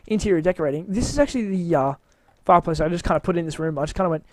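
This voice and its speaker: Tired man in a dry booth, high voice, hesitant rambling tour of his build, pokes fun at himself. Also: lively intonation, very fast, no room sound. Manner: rambling, hesitant, self-mocking. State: tired, voice wearing out. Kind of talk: casual rambling walkthrough commentary.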